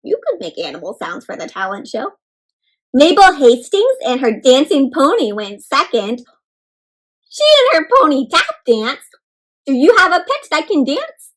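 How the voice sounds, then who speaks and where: A woman's voice: high-pitched, unhurried, low-quality recording. A woman reading a storybook aloud to young children, expressively and interactively, with encouraging questions in simple words. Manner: expressive, gasps and asks listeners questions. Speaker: read-aloud host.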